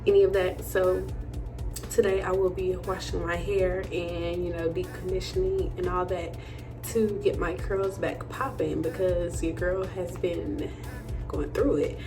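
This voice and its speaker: Young woman, kinda raspy, chill hair tutorial chat, pretty flat pitch, music behind her. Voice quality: slightly raspy. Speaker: beauty vlogger.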